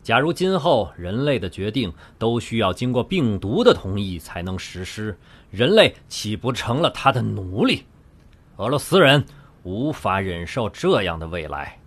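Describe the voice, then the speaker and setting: Man acting a husky, defiant hawkish delegate. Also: on the low side, heavily acted. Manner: declamatory, forceful oratory. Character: defiant, hawkish male Russian delegate urging war. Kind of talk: audio drama speech.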